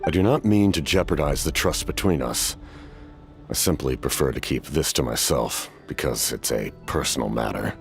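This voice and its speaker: A centuries-old masked warlord from a fantasy game. His voice is exceptionally low and solemn. He speaks in formal, measured phrases, weary and reserved. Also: creaky, soft background music. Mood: guarded, calm. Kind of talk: video game voice acting.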